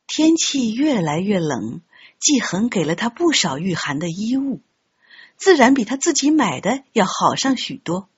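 A woman narrating an audiobook story in an expressive, scripted storytelling style. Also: mid-range voice, a little slow, husky, highs cut off. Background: background music.

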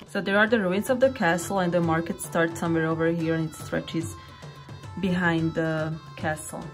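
Low-voiced young woman from Slovakia vlogging. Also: moderate pace, soft background music. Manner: calm, explanatory, turns soft and reflective. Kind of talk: travel vlog narration. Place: apartment rooms and outdoor town overlook. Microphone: phone camera at arm's length.

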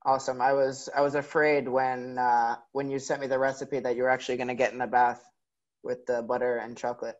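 A man hosts in a casual, chatty interview style at a medium pitch. His audio is dull and lo-fi, as over a video call. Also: flat delivery, fairly quick. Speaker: host of a virtual fan event.

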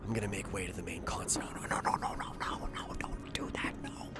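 A man's voice: sits low, steady tone, fairly quick, whispered, lightly acted. A man playing a desperate, vengeful skipper in an audio drama, intense and urgent. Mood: panicked whisper. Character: desperate bereaved male skipper bent on revenge.

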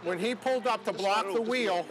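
A man's voice: high voice, normal speed, very noisy. A man working as a parking enforcement officer, speaking firmly and matter-of-factly on the street. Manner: firm, matter-of-fact. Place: city street outdoors.

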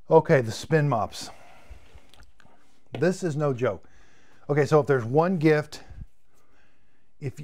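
A man's voice: medium pitch, darkish tone. A man in his fifties, a product reviewer demonstrating cleaning gear on a live stream, chatty and enthusiastic, repeating words as he goes, and losing his voice. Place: home studio room with shelves of vacuums.

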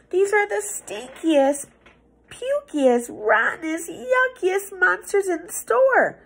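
High-voiced, very animated woman reading a storybook. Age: young adult. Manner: theatrical, expressive, playful, with drawn-out words and hushed asides. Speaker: teacher reading a picture book aloud. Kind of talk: animated storybook read-aloud for children. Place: small office room indoors.

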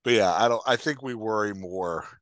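A man's voice: fairly low pitch, normal speed, a bit bright, no room sound. A man in his forties co-hosting a casual podcast discussion in a playful, joking manner, speaking into a headset mic on a video call. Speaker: podcast host and dialogue coach. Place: indoor room at home, video call.

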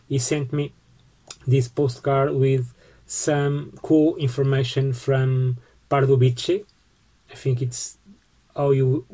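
A man in his forties with a Portuguese accent, showing his postcards on a webcam in a book-lined home study, slow and halting with frequent restarts. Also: fairly high pitch, warm tone. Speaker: postcard collecting vlogger. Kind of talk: casual show-and-tell vlog.

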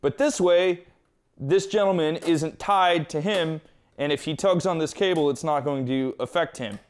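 A man with a fairly high, clear voice walks through a technical tutorial. He explains each step informally and conversationally, like a hands-on instructor. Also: moderate pace. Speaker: instructor presenting an equipment tutorial.

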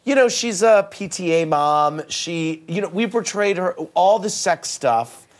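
A man in his forties, a talk show host, chatting candidly in a television studio with quick restarts. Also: high voice, expressive. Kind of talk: casual interview chat. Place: TV studio set.

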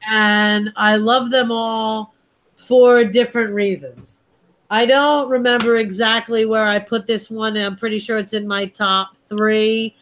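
A middle-aged woman co-hosting a casual movie-ranking chat on a video call. She thinks out loud in long, wandering sentences with restarts. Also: fairly high pitch, steady tone, a little slow, faint music behind. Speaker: co-host. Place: dim room at home. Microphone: webcam on a video call.

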